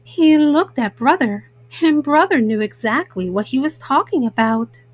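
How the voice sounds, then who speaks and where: A woman's voice: high-pitched, lively intonation, measured pace, no room sound. A woman storyteller narrating a children's storybook.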